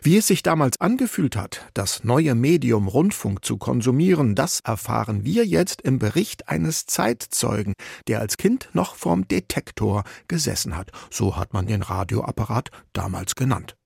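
Gravelly, husky male narrator. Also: medium pitch, normal speed, no room sound, clean studio sound. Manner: calm, explanatory storytelling. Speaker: radio feature narrator. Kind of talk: scripted documentary narration.

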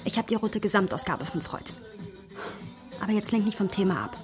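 An actress playing a spirited, expressive young woman with a husky voice in a literary radio play. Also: medium pitch, brisk, over music, natural voice. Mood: exasperated. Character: Sophia, spirited, easily exasperated young woman, second-generation immigrant.

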